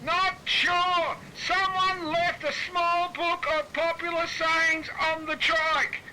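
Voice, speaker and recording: A man voicing a dim, cheerful male island manager, with a slow gormless drawl. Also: extremely high pitch, level tone, pushed and bright, a little hiss or hum, pitched above the performer's own voice, full character voice, low-quality recording. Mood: dopey.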